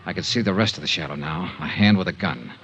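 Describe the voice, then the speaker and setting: A man's voice: deep voice, fast, fairly bright tone, lo-fi sound, soft background music. An actor playing a tough, wry male investigator in a 1950s radio detective drama, with clipped, wary delivery. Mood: tense, watchful. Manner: clipped, hard-boiled. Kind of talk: 1950s radio detective drama acting, first-person narration. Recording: noisy old radio recording with clicks.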